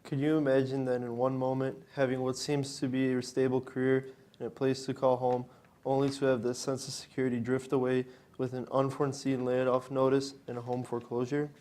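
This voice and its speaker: A man's voice: medium pitch, monotone, fairly quick, a little mellow, lightly acted. A young man performing a scripted readers theater monologue as a student reader. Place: college library event. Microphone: worn microphone.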